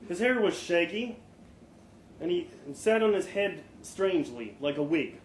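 A man giving an expressive live reading of a first-person short story, with dramatic pauses and the odd stumble. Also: sits high. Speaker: story reader. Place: live storytelling event in a pub room. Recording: echoey live venue, faint background noise.

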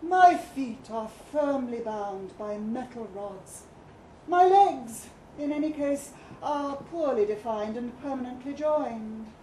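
A woman's voice: fairly high pitch, expressive, takes it slowly, warm tone. A woman voicing an ardent, boastful male statue in a live story reading, with grandiose, declamatory delivery. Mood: sorrowful protest. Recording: echoey live room, background noise.

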